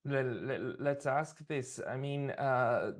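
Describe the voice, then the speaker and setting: A man moderates a panel at a very slow pace. His pitch is average for a man and his phrasing is hesitant. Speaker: host, moderator.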